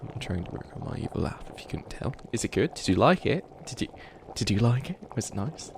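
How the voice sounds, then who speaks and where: A man's voice: fairly low pitch, very animated, a little fast. A man voicing a flustered, youthful bunny witch who wants to be evil, stammering and rambling defensively in a comedic ASMR roleplay. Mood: embarrassed, insistent. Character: flustered 853-year-old youthful bunny witch, harmlessly wannabe evil. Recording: clearly noisy ambience behind voice.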